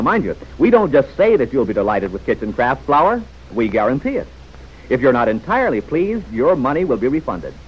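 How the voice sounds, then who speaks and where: Animated male old-time radio announcer. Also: medium pitch, breathy edge. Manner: polished, persuasive, folksy direct address. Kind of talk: 1940s radio serial narration and sponsor pitch. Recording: dull, faintly noisy old radio recording.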